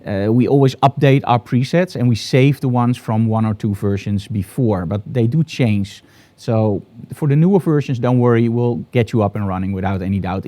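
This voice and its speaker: A man's voice: mid-range voice, mellow. A middle-aged man with a Dutch accent, hosting a live photography broadcast from his studio in an upbeat, enthusiastic, promotional way. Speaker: photography host.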